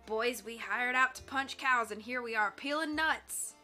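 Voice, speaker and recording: A woman voicing a level-headed young cowboy who speaks calmly and wryly. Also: fairly high pitch, a little slow, soft background music. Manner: calm, level, wry.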